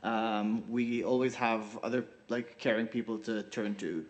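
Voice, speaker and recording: A man's voice: lowish voice, steady tone, measured pace. Casual young-sounding man full of "like"s. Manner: casual, repetitive "like" fillers. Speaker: youth group panelist. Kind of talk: conference Q&A answer.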